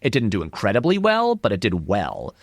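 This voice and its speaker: A man's voice: on the low side, fairly quick, no echo, studio quality. A man giving casual, conversational commentary as an interview guest, with restarts and lots of filler words. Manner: animated, acts out short quotes in passing; filler-heavy, frequent "like" and restarts. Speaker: interview guest, film video essayist.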